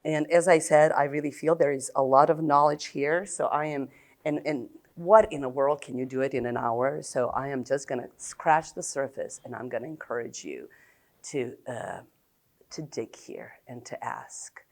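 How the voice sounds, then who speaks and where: Low-voiced woman from Serbia, American-tinged. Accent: American-tinged English. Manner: blunt and open, halting with many fillers. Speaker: psychologist and conference speaker. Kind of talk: conference talk.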